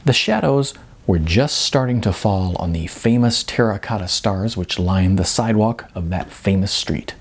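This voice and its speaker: A man reading a novel as its author, in expressive audiobook narration with deliberate, emphatic phrasing. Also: lowish voice, breathy edge.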